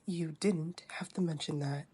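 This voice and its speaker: A woman voicing a defensive, flustered fantasy quest character in an amateur game dub, with a husky voice. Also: sits low, steady tone, measured pace, mellow.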